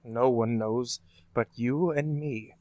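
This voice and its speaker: A man's voice: mid-range voice, slow, warm tone, no room sound, close to an everyday voice. A man acting a kindly, avuncular older professor in an old-time radio drama style. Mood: reassuring, hushed. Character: kindly, fatherly older male professor, soothing mentor.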